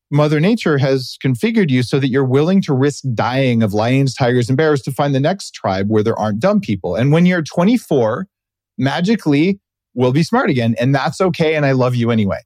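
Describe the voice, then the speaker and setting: A man's voice: fairly high pitch, a little mellow, dry recording, clean studio sound. A middle-aged man hosting an interview, chatting casually with an enthusiastic, jokey manner. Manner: jokey, enthusiastic, laughs while talking. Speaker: podcast host.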